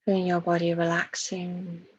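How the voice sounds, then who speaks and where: A woman leading a guided meditation in a soft, breathy voice, soothing and hushed, with long pauses between phrases. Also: lowish voice, completely flat delivery, lo-fi sound.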